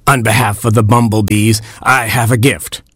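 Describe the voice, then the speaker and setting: A man's voice: on the low side, fairly even intonation, moderate pace, fairly bright tone, breathy edge, no room sound. A man voicing a cigar-chomping bumblebee boss with a boss-like manner. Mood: proud.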